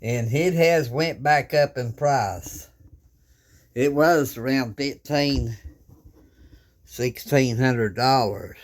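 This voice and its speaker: Man, slow and drawn-out, mid-pitched, folksy rambling ham operator, home desk, phone camera. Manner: rambling, folksy, plain-spoken with many restarts. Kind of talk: casual show-and-tell of radio gear. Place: home radio desk, indoors.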